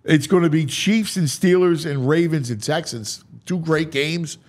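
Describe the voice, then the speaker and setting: A man's voice: a little high, measured pace, darkish tone. A man hosting a casual sports talk show, speaking emphatically and conversationally and repeating phrases for emphasis.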